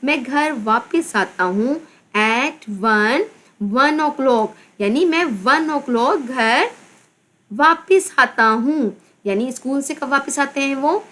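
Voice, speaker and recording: A woman teacher from Pakistan with a Pakistani accent, slowly reading a children's English textbook aloud and explaining each word. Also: high voice, pushed and bright. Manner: addresses pupils as "beta". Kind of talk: slow textbook lesson with translation.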